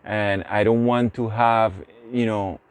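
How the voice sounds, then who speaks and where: A man's voice: fairly low pitch, steady tone, takes it slowly, smooth, no echo. A man from Puerto Rico speaking English with a Puerto Rican Spanish accent, talking casually to camera with many restarts. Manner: rambling, many restarts and fillers. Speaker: vlogger.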